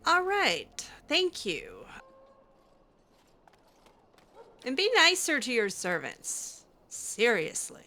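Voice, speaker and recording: A woman's voice: fairly high pitch, wildly swooping pitch, slow, bright, forceful tone, heavily acted. A woman voicing a cheeky, skeptical female mage in casual, teasing improvised roleplay of a fantasy game. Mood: casual, reproving.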